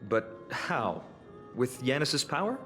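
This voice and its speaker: A man playing a calm, inquisitive traveler in a game, deliberate and questioning in manner. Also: mid-range voice, over music. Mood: curious, thoughtful. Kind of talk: dramatic game voice acting.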